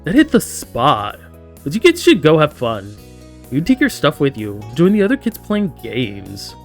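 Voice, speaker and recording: A man's voice: a little high, wildly swooping pitch, a little slow, gravelly, faint music behind, exaggerated voice. A man voicing a kindly, easygoing father in a story game. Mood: cheerful, encouraging. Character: kindly, easygoing dad sending children off.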